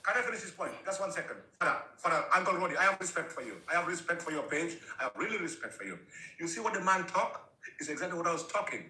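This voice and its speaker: Fast, forceful man arguing insistently. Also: fairly high pitch, lively intonation. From Africa. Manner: insistent, repeats phrases, talks over others. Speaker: debate panellist. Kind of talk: heated debate. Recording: echoey room sound.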